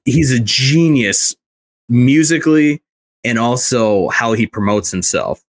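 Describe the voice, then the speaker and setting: A man's voice: medium pitch, slow pace, dry recording. A man co-hosting a podcast, talking in a casual, conversational way. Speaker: podcast co-host.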